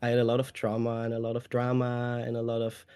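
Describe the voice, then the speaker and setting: A man's voice: medium pitch, monotone, fast, mellow, clear, resonant tone, no room sound. A young man from Austria, a native German speaker, chatting openly as an interview guest, with fillers and restarts. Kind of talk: casual conversation.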